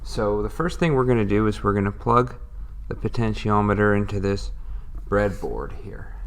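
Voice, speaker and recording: Patient male tutorial instructor, low and gravelly, thinks aloud. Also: a bit bright. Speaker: electronics instructor. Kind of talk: step-by-step tutorial.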